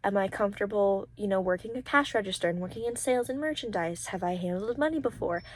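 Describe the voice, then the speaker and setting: A young adult woman giving a casual vlog-style recap, talking in an informal, halting and rambling way. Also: mid-range voice. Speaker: vlogger, college program applicant.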